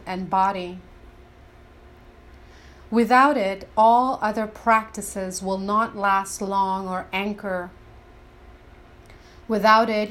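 A woman leading a scripted guided meditation in a deliberate, reverent, instructional way. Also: mid-range voice.

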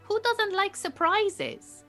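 A woman acting a calm, exacting Iranian refugee beekeeper in an audio drama, speaking in a measured, dry and formal way. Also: very high voice, soft background music, heavily acted. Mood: playful.

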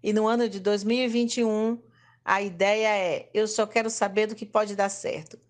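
A woman with a Bahian accent hosting a talk show and reading guest introductions in a steady, measured way. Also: mid-range voice. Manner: reads long credentials in a steady, measured way. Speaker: host.

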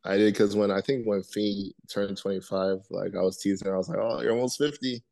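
Chill twenty-something guy, slangy, lowish voice, dry sound. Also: steady tone, brisk, vocal fry, a little mellow, no room sound. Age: 20s. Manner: laid-back, slangy. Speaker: podcast co-host. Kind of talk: casual chat. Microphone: video call.

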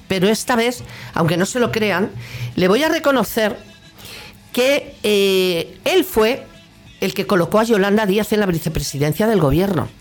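Indignant Castilian Spanish woman radio host. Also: mid-range voice. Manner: indignant, sarcastic, colloquial. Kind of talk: political opinion monologue.

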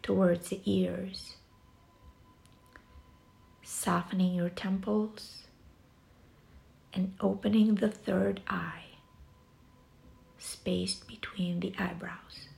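A woman leads a guided meditation very slowly, leaving long pauses between phrases. Her voice is soft and breathy, with heavy vocal fry running through it. The pitch sits in a middle range for a woman, and the delivery is soothing. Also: flat delivery. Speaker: yoga nidra guide.

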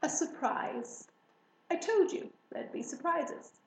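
A woman's voice: sits high, expressive, takes it slowly, reverberant, a little hiss or hum, pitched above the performer's own voice, heavily acted, low-quality recording. A woman reading a storybook, voicing a magical talking hare with a breezy, knowing, teasing manner.

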